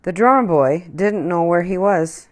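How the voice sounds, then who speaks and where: A woman reading a children's picture book aloud in an expressive storytelling manner. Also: fairly low pitch, unhurried.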